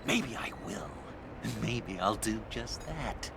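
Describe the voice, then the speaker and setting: Unhinged lovestruck game villain, slow n creaky, breathy rambling w sudden outbursts. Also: male voice, fairly low pitch, animated, noisy background, full character voice. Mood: scheming, musing. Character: lovesick, unstable, eccentric young male criminal. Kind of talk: video game voice acting.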